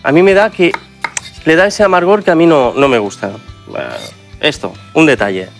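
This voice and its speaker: Spanish chef guy on a tv cooking set, animated voice going up and down, soft music under. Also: a little high, moderate pace, vocal fry. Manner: lively, explains ingredients to viewers. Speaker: TV cooking show host and chef. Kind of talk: TV cooking demonstration. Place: TV studio kitchen set.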